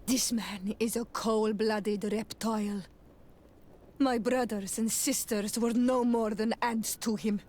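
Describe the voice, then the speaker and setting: A woman's voice: a little high, steady tone, a little mellow, a little hiss or hum. A woman playing a bitter, grieving prisoner in a game, speaking in an accusing way. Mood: bitter contempt. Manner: slow, accusing.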